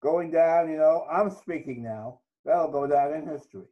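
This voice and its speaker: A man's voice: a little high, normal speed, lo-fi sound. An older man from Trinidad, a guest author, telling his life story in a reflective interview. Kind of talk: interview conversation.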